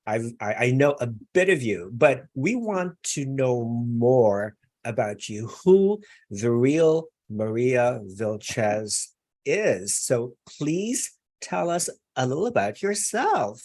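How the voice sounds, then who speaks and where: A man's voice: mid-range voice, very animated, takes it slowly, darkish tone. A man hosting a live online interview, chatty and effusive, with many fillers. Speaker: interview host.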